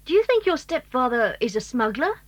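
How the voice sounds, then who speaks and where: A woman playing an inquisitive, excitable schoolboy in a children's audio drama, full of exclamations and questions. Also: high-pitched, brisk. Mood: curious. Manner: exclaiming, curious.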